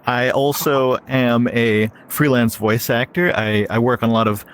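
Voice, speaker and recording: A man's voice: medium pitch, fairly even intonation, unhurried, clean tone. A male voice actor introducing himself on a panel, easygoing and a little hesitant. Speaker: voice director, voice actor. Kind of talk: convention panel talk.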